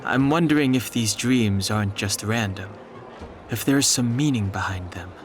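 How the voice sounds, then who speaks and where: An actor playing a calm, serious young man adventurer in a video game, speaking in a reserved, deliberate way. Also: lowish voice, close to an everyday voice. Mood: pensive, composed. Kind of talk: measured video game dialogue. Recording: music playing behind.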